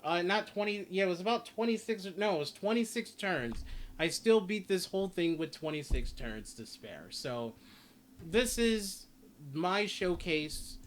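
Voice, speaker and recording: Higher-voiced man commentating gameplay over noise. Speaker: gaming channel host.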